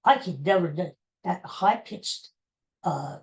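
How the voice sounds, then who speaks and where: A woman's voice: on the low side, lively intonation, slow. A woman in her sixties hosting a solo podcast, rambling in a chatty way and talking straight to her listeners. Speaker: podcast host. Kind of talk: casual solo podcast monologue.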